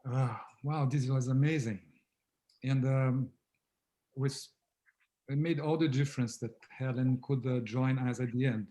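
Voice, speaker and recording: Soft-spoken man with a mellow voice. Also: mid-range voice, steady tone, measured pace, poor audio. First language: Portuguese. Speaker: aquatic therapy method founder, guest. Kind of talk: casual conversation on a video call. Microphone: video call.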